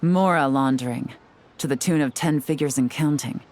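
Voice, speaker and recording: A woman voicing a calm, composed female intelligence agent who explains things in a measured, dry way, in a video game. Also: very deep voice, a little hiss or hum. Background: soft game music.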